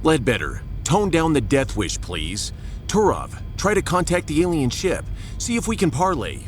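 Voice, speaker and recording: A man's voice: on the high side, huge pitch swings, moderate pace, soft background music. A man playing an authoritative, protective starship captain in a sci-fi audio drama, demanding in manner. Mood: commanding.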